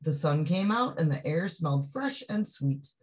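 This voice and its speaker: A woman reading a picture book aloud to young children, expressively, with drawn-out phrases and chatty asides that put questions to the listener. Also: low-pitched, expressive, breathy edge. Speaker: storybook reader.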